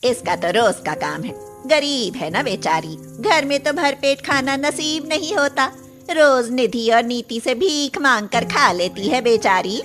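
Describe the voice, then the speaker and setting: High-pitched young schoolgirl voiced by a woman. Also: expressive, a little slow, fairly bright tone, soft background music. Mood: mocking. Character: emotional, loyal young schoolgirl in a moral tale. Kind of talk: acted Hindi moral cartoon story.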